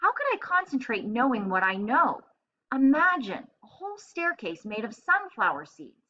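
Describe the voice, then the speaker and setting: Smug, exclamatory small male hamster for a storybook, performed by a woman; lively delivery, slightly dull recording. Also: fairly high pitch, normal speed, pitched above the performer's own voice, heavily acted. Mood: curious, agitated. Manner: self-satisfied, exclamatory, asks and answers himself. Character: boastful, excitable little male hamster diarist.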